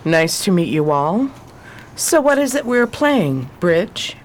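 An actress playing a polite, curious 1920s widow with a taste for adventure in a radio play. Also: female voice, lowish voice, big pitch swings, slow pace, a little hiss or hum. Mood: polite, sociable.

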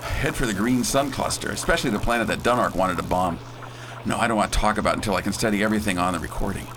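A man playing a bold scientist who leads a space crew in a radio drama, brisk, folksy and commanding. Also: lowish voice, noisy background, natural voice. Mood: grave, determined. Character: bold, decisive space-hero scientist and leader.

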